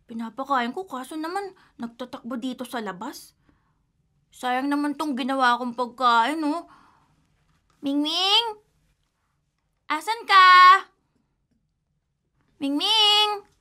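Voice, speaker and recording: A woman's voice: high-pitched, sharp tone, heavily acted. A woman voicing a curious, polite little village boy in a radio drama. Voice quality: childlike, polite.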